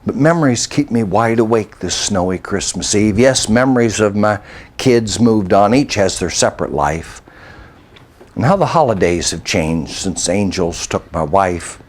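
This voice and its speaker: An older American man recites a rhyming narrative poem in a measured, reflective storytelling manner. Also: on the low side, expressive. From United States. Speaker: storyteller and poet.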